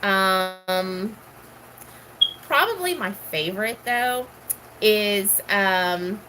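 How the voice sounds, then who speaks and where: A woman's voice: medium pitch, lively intonation, extremely slow, sharp tone, slight background noise, poor audio. A middle-aged woman from Texas, casually chatting and answering questions, rambling with many ums. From Houston, Texas. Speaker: gardening vlogger.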